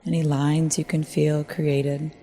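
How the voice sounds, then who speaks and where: A woman guides a meditation in a low-pitched, soft-toned voice. She is gentle and measured, leaving long pauses between phrases. Her timbre is dark and relaxed.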